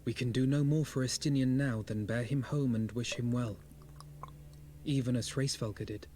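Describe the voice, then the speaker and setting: A man voicing a dignified, solemn knight from a fantasy game in a grave, formal, measured manner. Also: medium pitch, steady tone, warm tone, a little hiss or hum, lightly acted. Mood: solemn, resigned.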